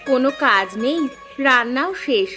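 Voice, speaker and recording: A woman voicing a practical, reassuring village housewife and mother in a cartoon, calm and homely in manner. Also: high-pitched, normal speed. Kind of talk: cartoon voice acting. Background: plucked mandolin music.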